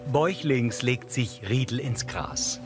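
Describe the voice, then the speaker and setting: A man's voice: medium pitch, unhurried, music in the background. A man reading scripted narration that describes the action on screen as an audio describer.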